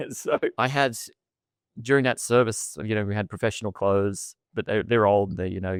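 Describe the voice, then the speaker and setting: Australian man, low smooth voice. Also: steady tone, normal speed, dry recording, clean studio sound. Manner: chatty and self-deprecating, often repeats words and laughs. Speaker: podcast guest. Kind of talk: casual storytelling interview.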